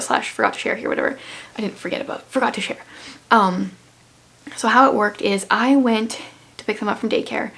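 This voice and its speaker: A woman from the Canadian prairies giving a rambling, casual vlog update, her voice hushed and breathy at times. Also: a little high. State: sore throat, losing her voice. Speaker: vlogger. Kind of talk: casual chat.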